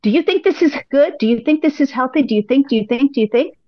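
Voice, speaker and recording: A woman, a pilates instructor, giving upbeat, encouraging advice as a podcast guest, a little hoarse from losing her voice. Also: high voice, expressive, fast talker, no echo, lo-fi sound. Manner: upbeat, encouraging, speaks in repeated affirmations. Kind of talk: casual interview advice.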